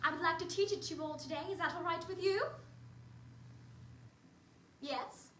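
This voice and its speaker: A woman's voice: extremely high pitch, moderate pace, fairly bright tone, slight background noise. A woman playing a cheerful, prim nanny, speaking in an encouraging sing-song way to unseen children. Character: cheerful prim female nanny, young adult. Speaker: costumed character performer for children. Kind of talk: in-character children's activity video. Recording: roomy sound from a distant mic.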